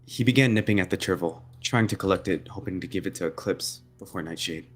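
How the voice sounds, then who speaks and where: Man roleplaying a story, low hesitant delivery. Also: quick pace, soft background music. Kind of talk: online roleplay, narrating and voicing a character.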